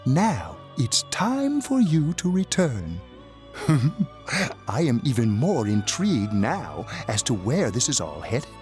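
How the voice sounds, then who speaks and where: An actor playing an eerie, amused old master of a mysterious room in a video game, speaking in a theatrical, deliberate way. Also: male voice, mid-range voice, wildly swooping pitch, a little mellow, faint music behind.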